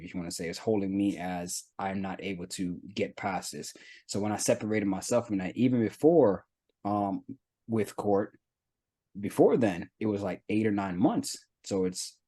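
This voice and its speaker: A man in his thirties from the United States shares a personal story on a chat show. His voice sits fairly low, and he speaks earnestly and reflectively, pausing to search for words. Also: darkish tone. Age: 30s. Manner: earnest, reflective, halting with fillers. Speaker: guest. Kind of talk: candid personal testimony.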